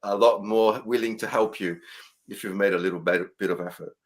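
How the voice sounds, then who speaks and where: A man in his fifties hosts a casual livestream, upbeat and quick with a joke as he draws out his guests. His voice sits slightly low and comes across plainly and easily. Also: steady tone. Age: 50s. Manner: upbeat, joking, asks guests questions. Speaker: host.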